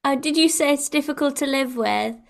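A woman's voice: high voice, fairly quick, gravelly. A young woman with a Welsh accent hosting a podcast in a bubbly, giggly casual chat. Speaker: podcast host.

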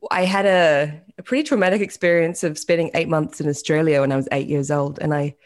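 A woman with a New Zealand accent and a low voice tells her story in a chatty, self-deprecating way, with plenty of ums and restarts. She speaks over a video call, as a guest on an interview show. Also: a little fast. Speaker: guest musician.

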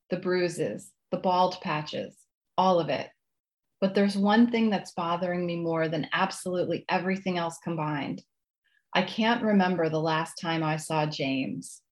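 A woman with a slightly low voice speaks as a guest author. Her manner is polite and modest. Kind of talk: casual interview chat, then reading own writing aloud.

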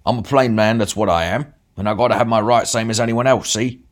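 Man playing a beefy street bruiser, gruff, loud, picking fights. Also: lowish voice, a little fast, pitched above the performer's own voice, lightly acted. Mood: belligerent. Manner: gruff and belligerent. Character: big beefy rough-spoken brawler man.

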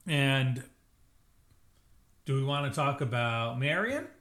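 A man's voice: mid-range voice, lively intonation, fairly bright tone. A young man co-hosting a podcast, chatting casually and jokingly about a TV episode. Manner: chatty, jokey, quick asides. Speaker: podcast co-host. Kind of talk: casual TV recap chat.

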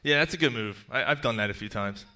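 A man's voice: mid-range voice, fast talker, sharp tone. A man from Philadelphia in casual banter, stubborn and joking. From Philadelphia, USA. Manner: stubborn, joking, laughs often.

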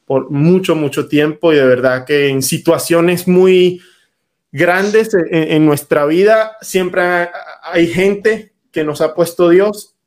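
A man speaks as a guest, recounting his life in a halting, searching way full of small restarts. His voice sits a touch higher than most men's. The feel is a relaxed conversation. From Venezuela. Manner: halting, many fillers and restarts. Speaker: guest, startup founder. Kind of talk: conversational interview, storytelling.

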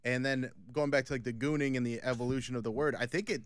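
Smooth-voiced man in his forties. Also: fairly even intonation, fast talker, dry recording. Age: 40s. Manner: crude jokes, turns soft and low when explaining. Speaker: podcast host. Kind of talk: casual podcast chat. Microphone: studio mic.

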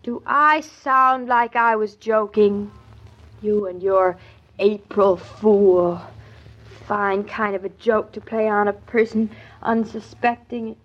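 An actress playing a spirited, impulsive young American wife in an expressive, chatty 1940s radio drama style. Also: female voice, mid-range voice, slow. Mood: exasperated. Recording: dull old radio recording.

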